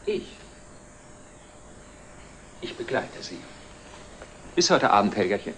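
A man's voice: lowish voice, sing-song, slow. An actor playing a placating boyfriend in his thirties in a 1960s TV drama. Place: furnished living room set. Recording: faint hiss, old TV soundtrack.

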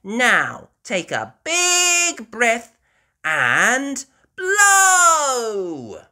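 Brief: female reader as bossy, cheerful young girl piglet for a storybook; high, squealing, very swoopy delivery. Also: wildly swooping pitch, pushed and bright, exaggerated voice. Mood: eager instructing. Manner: squealing, exclamatory, drawn-out phrasing.

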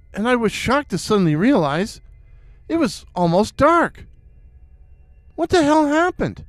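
A man's voice: sits high, smooth, no room sound, studio quality. A man narrating a first-person horror story in a suspenseful way, repeating words for effect.

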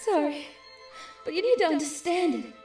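A woman's voice: high voice, lively intonation, normal speed, darkish tone, roomy sound, distant mic, faint music behind. A woman voicing an anxious, apologetic young cartoon angel in a comic dub, sighing, hesitant and emotional. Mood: fearful, sorrowful.

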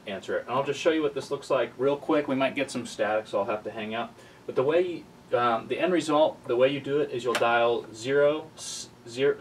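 A young man presenting a casual tech tutorial in an informal, halting way, often correcting himself. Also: mid-range voice, a little hiss or hum, low-quality recording. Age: young adult. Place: small office cubicle indoors, then screen recording voice-over.

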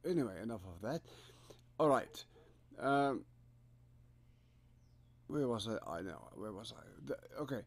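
A South African man with an Afrikaans accent, a composer walking through music software in a rambling, hesitant way with lots of fillers and restarts. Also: medium pitch, expressive, unhurried, pauses often. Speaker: composer giving a software tutorial. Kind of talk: casual screen-recorded software walkthrough. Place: home studio room.

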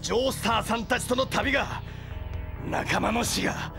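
Gravelly, hot-blooded vengeful hero. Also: male voice, very high voice, normal speed, music in the background. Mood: grave resolve. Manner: impassioned, melodramatic. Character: hot-blooded, vengeful heroic male fighter. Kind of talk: anime-style audio drama acting.

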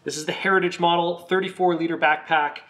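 A man with a slightly croaky voice, recovering from a cold, giving a relaxed, chatty product review on his video channel. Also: on the high side, quick pace. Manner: relaxed, chatty, with some fillers.

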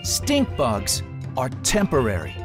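A man reading a children's story aloud as a trained voice actor, theatrical and deliberate in his emphasis. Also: mid-range voice, wildly swooping pitch. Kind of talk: expressive children's storybook narration. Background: soft music.

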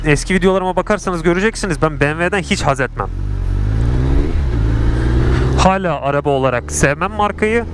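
Man, motovlogger, rambling and self-mocking, fairly high voice, helmet mic, road and wind noise. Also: quick pace. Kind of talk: casual riding vlog chat. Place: riding a motorcycle on city streets. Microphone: helmet mic on a moving motorcycle. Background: traffic and engine noise.

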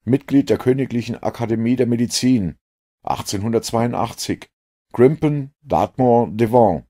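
A man voicing an earnest, sceptical gentleman companion in an audiobook, speaking with emphatic, forceful delivery. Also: medium pitch, pitched above the performer's own voice, close to an everyday voice. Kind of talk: audiobook character reading.

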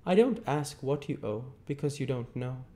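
A man's voice: medium pitch, animated, moderate pace, warm tone, pitched above the performer's own voice, lightly acted. A man voicing a stern middle-aged male lawyer who questions in a clipped, emphatic way. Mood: lecturing. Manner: clipped, cross-examining, emphatic.

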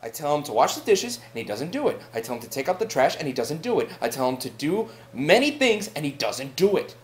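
A man's voice: a little high, sing-song, fairly quick. A man playing an irritable young roommate in a mockumentary comedy sketch, whiny, exasperated and emphatic.

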